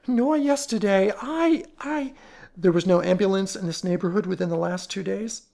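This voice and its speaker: A woman's voice: lowish voice, little pitch movement, fast talker, gravelly, dark tone. A woman voicing a timid, slovenly housekeeper who frets and stammers as she chatters. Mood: fretful. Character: timid slovenly middle-aged female housekeeper.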